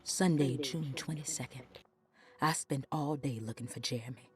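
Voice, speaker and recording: A worried woman narrating her diary in a video game. Her voice is very deep for a woman, and her measured delivery slips into hushed whispers. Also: slightly rough, exaggerated voice. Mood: worried, secretive. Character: anxious woman reading her worried diary entry aloud. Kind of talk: scripted video game voice acting.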